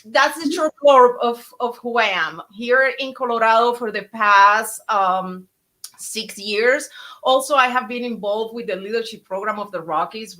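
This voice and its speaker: A woman from Cuba with a Cuban Spanish accent, chatting casually on a podcast as a guest and telling stories with many fillers and restarts. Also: mid-range voice. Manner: animated, storytelling, frequent fillers and restarts. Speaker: guest speaker.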